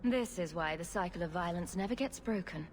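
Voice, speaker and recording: A woman's voice: fairly low pitch, brisk, slight background noise, close to an everyday voice. A woman playing a sarcastic, flippant rogue adventurer in a fantasy video game. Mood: wry sarcasm.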